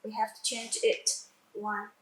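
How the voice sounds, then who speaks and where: A woman who speaks English as a second language, giving a halting step-by-step software tutorial with repeats and self-corrections. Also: medium pitch, completely flat delivery. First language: not English. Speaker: product tutorial presenter. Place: indoor room, demonstrating at a computer screen. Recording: echoey room sound.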